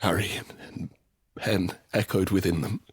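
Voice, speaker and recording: An actor playing an anguished, guilt-ridden survivor in a post-apocalyptic audio drama, brooding and halting, in a hushed confessional manner. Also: male voice, deep voice, steady tone, slightly rough, no echo, studio quality, full character voice. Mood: subdued, haunted. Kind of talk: dramatic first-person audio drama narration.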